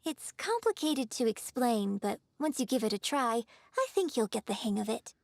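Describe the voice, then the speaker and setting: An actress playing a gracious opera performer in a video game, coaching patiently with polished, gentle, encouraging delivery. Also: female voice, fairly high pitch, very animated, moderate pace, creaky, no echo, heavily acted. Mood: patient, guiding. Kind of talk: scripted video game voice acting.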